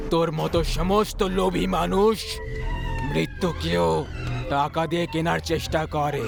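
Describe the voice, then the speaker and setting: Undead old farmer for a ghost story, super slow n gravelly, menacing, wants revenge, music under it. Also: male voice, fairly high pitch. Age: older. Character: vengeful old farmer returned from the dead. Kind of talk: dramatized horror story acting. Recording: music behind the voice.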